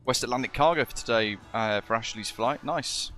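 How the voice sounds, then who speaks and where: British guy doing atc on stream, bit chesty n husky, crisp bright tone, calls ppl matey. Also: mid-range voice, normal speed, faint music behind. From Britain. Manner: chatty, greets viewers as matey. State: chesty, slightly husky from a virus. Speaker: streamer acting as virtual air traffic controller. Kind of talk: live stream chat and radio phraseology.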